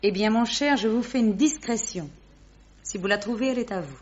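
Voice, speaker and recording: A woman's voice: medium pitch, a little fast, darkish tone, breathy edge, natural voice. Woman acting a witty society lady, slightly muffled. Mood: teasing, challenging. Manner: playful, mocking, theatrical. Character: poised, witty, teasing high-society lady. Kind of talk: French radio drama acting. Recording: dull lo-fi radio recording.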